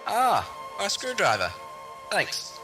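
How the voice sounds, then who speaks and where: A man playing a flippant, decisive time-travelling doctor in an audio drama, with a brisk theatrical delivery. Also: high-pitched, wildly swooping pitch, heavily acted. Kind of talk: fan audio drama acting. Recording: music over old cassette recording.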